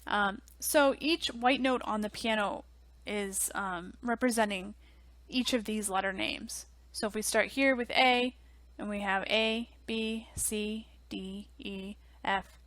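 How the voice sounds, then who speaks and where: A young woman teaching music theory in a relaxed, chatty way, full of ums and likes, on a headset mic in a small office. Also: mid-range voice, dry recording. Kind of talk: casual online lecture.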